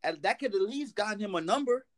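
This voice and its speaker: A man in casual podcast chat, joking and animated. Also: high voice, fast talker. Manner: animated joking, laughs loudly.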